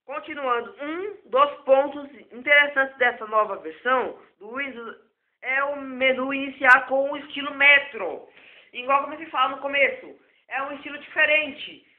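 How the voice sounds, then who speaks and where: A hoarse man, giving a rambling, improvised tech lesson as a self-taught computer tutor. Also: very high voice, normal speed. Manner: rambling and repetitive. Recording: echoey, phone-like lo-fi recording.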